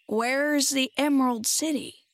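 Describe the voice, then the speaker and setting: A woman reader puts on a storybook scarecrow, a simple, earnest straw man. The voice is husky and creaky, the words drawn out slowly and politely. It is recorded very dry and close. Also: sits high, no echo. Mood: polite, curious. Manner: slow, drawn-out, polite delivery.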